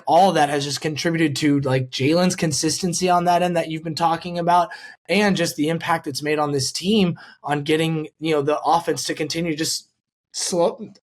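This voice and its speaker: A man hosting a casual sports podcast, talking with a fan's enthusiasm. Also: a little high.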